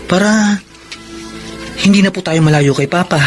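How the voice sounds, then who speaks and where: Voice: medium pitch, normal speed, close to an everyday voice. An actor with a Filipino accent playing a dutiful, sad child who misses a parent, in a radio drama. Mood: wistful, pleading. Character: dutiful sad child missing an absent father. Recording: radio drama with loud music underneath.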